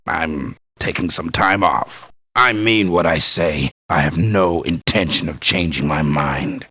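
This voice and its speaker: An actor playing a blunt, cynical, tough-talking mercenary in a video game. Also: male voice, a bass voice, unhurried, sharp tone, dry recording, clean studio sound, heavily acted. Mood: defiant, fed up. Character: gruff, blunt male mercenary, cynical about pay. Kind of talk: video game character voice lines.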